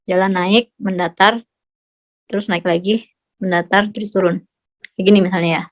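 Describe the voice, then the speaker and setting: A woman's voice: medium pitch, steady tone, normal speed. A woman tutoring math in a casual, chatty way, often asking whether her students follow. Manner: chatty, checks understanding often. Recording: slightly dull lo-fi audio.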